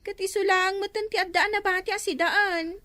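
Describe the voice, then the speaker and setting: A woman's voice: very high-pitched, steady tone, normal speed, pushed and bright, dry recording, studio quality. A woman acting a whiny, complaining younger sister in an Ilocano comedy drama, with an Ilocano Filipino accent.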